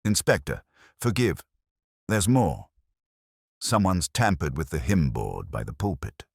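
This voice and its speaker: A man's voice: deep voice, sing-song, unhurried, creaky, husky, no echo, clean studio sound, exaggerated voice. A man voicing an anxious, deferential, hesitant church verger in a mystery story.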